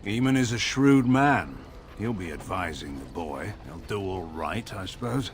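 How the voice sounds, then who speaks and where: An actor playing a proud, stern middle-aged general and father in a fantasy game, speaking in a grave, measured, formal way with dry sarcasm. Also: deep voice, animated, background noise. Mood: scornful. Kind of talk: dramatic fantasy game dialogue.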